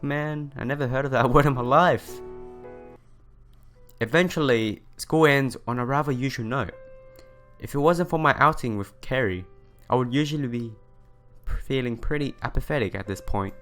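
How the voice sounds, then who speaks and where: A man's voice: medium pitch, a bit bright. Young guy narrating a visual novel playthrough, medium voice, music in the background. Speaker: let's player. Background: music behind the voice.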